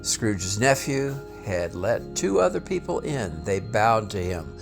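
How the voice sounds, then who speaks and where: Slow, animated male storyteller. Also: lowish voice, gravelly, music in the background. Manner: theatrical, deliberate. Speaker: storybook reader. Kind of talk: scripted narration of a classic tale, dramatic.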